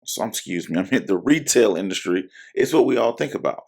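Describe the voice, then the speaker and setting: Male investing-channel host, low and gravelly, hoarse today, loose rambling delivery with many fillers. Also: expressive, brisk, darkish tone. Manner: rambling, full of fillers and self-corrections. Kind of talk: casual talk to camera.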